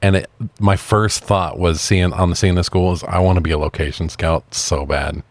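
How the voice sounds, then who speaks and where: A man's voice: low voice, fairly even intonation, normal speed, no echo. A man co-hosting a casual film chat, enthusiastic, slangy and freely profane. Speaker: podcast co-host.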